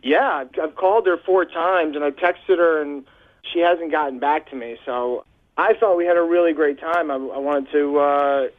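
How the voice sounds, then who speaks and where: Guy calling into radio, kinda higher voice, tinny phone sound, casual storytelling. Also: normal speed. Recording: band-limited phone line on radio.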